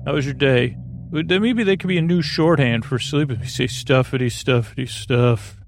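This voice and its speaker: A man with a creaky voice hosting a bedtime podcast in a soothing, halting, meandering monologue that keeps drifting into tangents. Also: mid-range voice, soft background music. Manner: meandering, halting, digressive, absent-minded. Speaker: podcast host.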